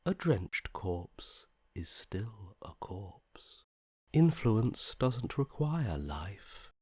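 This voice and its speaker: An actor playing a detached, morbidly curious inner voice in a video game, with a languid, blasé delivery that softly trails off. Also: male voice, on the low side, sing-song, very slow, slightly rough, no echo, studio quality, exaggerated voice. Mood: cold indifference. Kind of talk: video game character voice acting.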